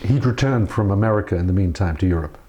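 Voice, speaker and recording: A man interviewing an older woman about her life, gently prompting her with short follow-up questions. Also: deep voice, fast, muffled recording.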